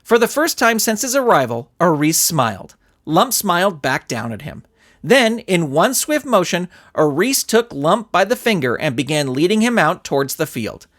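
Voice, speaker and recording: A man's voice: sits high, sing-song, moderate pace, a bit bright. A man narrating a scripted story as an audiobook reader. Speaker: narrator and author.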